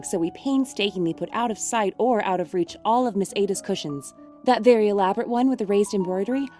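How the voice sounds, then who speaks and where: An actress playing a wry, chatty young college student in an audio drama, telling things with mock solemnity. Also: female voice, mid-range voice, close to an everyday voice. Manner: mock-solemn, animated storytelling.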